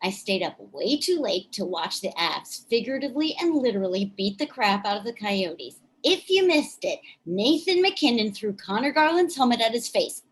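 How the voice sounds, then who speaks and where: An actress playing a chatty, excitable hockey podcast host in a staged play reading. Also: female voice, a little high, moderate pace, hardly any pauses, slightly rough. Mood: excited, gossipy. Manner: informal, animated.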